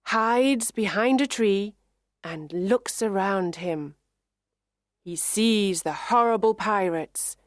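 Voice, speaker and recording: Slow, clear woman storyteller. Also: on the low side, dry recording, clean studio sound. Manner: clear, measured reading. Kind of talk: slow scripted narration for English learners.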